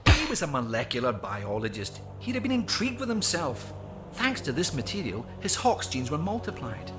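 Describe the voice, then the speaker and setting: An actor playing an obsessed, smug mad scientist in a video game, with a Scottish accent and a theatrical, grandiose manner. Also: male voice, on the high side, wildly swooping pitch, moderate pace, loud music behind the voice. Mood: fascinated, lecturing. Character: obsessed, smugly excitable male mad scientist. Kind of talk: dramatic game cutscene acting.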